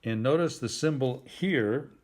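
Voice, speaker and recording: A man giving a simple tutorial in a plain, deliberate, instructive way, like someone patiently walking a learner through each step. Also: mid-range voice, a little mellow. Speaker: instructor helping a phone user.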